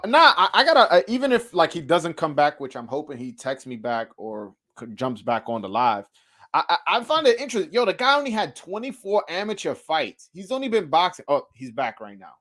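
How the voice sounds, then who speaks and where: A man's voice: a little high, big pitch swings, moderate pace, a bit bright. Laid-back stammering male stream host. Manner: loose, joking, stammers and repeats words, switches to Spanish to translate. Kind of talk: casual live-stream interview.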